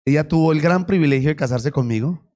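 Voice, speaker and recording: A man's voice: on the high side, fast, darkish tone. A male pastor, interviewing his wife in front of the church and joking with the congregation.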